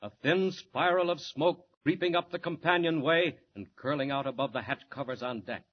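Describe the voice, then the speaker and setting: Dramatic old-time radio narrator man. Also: on the high side. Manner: measured, dramatic storytelling. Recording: dull old radio recording with clear hiss.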